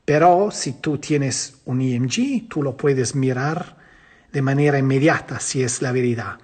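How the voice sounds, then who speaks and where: A man's voice: mid-range voice, a little slow, a little mellow. A man teaching physiotherapy in Spanish, which is not his first language, who keeps asking and answering his own questions. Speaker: physiotherapy lecturer. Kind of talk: teaching a course, explaining slides.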